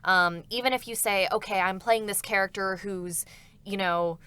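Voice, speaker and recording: A woman talking casually in a panel chat. Her voice is mid-pitched. She speaks as a voice actor answering questions on a livestream. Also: steady tone, moderate pace, pushed and bright, clean tone.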